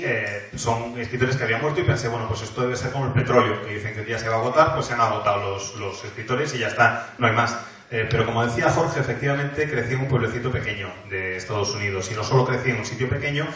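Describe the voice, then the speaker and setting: A man with an accent from Spain, interpreting a guest's anecdotes aloud in a colloquial, expressive first-person retelling. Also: medium pitch. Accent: Spanish, from Spain. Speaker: consecutive interpreter. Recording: very echoey hall, distant, faint noise.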